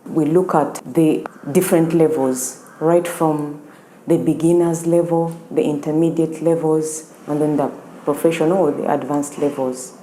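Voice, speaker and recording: A woman's voice: sits low, fairly even intonation, measured pace, warm tone, soft background music. A woman who designs courses, explaining them methodically, step by step, in an interview. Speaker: course educator. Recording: echoey room with faint ambience.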